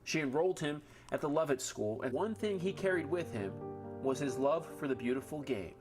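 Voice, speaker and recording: A man narrates a human-interest news feature with measured, storytelling pacing. His voice sits a little high, and music plays clearly beneath him. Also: animated. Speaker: TV news reporter.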